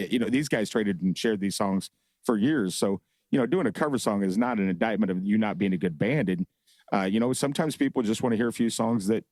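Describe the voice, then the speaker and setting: A man with a lowish voice chats as a podcast guest, rambling and reminiscing and often searching for a name mid-sentence. He sounds relaxed and conversational. The studio sound is dry and close. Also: no echo. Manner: rambling, reminiscing, groping for names. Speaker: guest, bar owner. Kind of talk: casual podcast chat.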